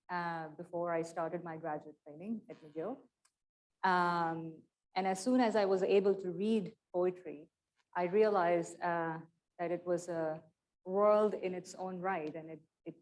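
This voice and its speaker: A woman with a slightly low voice answers questions after her lecture. She speaks in a measured, thoughtful way, pausing and restarting as she works through ideas. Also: fairly even intonation, a little mellow, poor audio. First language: not Persian. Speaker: guest lecturer. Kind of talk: academic Q and A answers. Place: lecture room.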